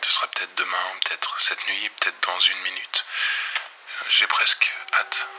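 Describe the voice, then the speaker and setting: A man's voice: deep voice, little pitch movement, moderate pace, whispered, voice pushed down, natural voice, rough recording. Man playing a hunted, grave rebel broadcaster. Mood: resigned, haunted. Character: lone hunted male pirate-radio broadcaster, grave and defiant. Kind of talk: dystopian audio fiction monologue.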